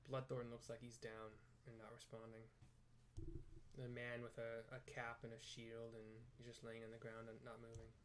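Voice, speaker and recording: A man's voice: medium pitch, completely flat delivery, normal speed, a little hiss or hum. A man improvising plain audio description of video game cutscenes, hesitant and correcting himself as he goes.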